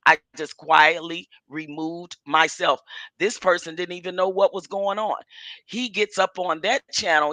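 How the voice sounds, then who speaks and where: A woman with a cold and a hoarse voice, speaking emphatically and indignantly as a guest on a casual livestream panel over a video call. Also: on the low side, pushed and bright, no echo.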